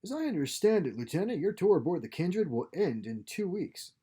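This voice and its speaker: A stern starship captain, acted out by a man reading a story, pitched up from the narration, with a mellow tone. He speaks in clipped commands and coats his threats in cold politeness. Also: very animated, fairly quick, slightly rough, heavily acted. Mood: veiled threat. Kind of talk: short story read aloud.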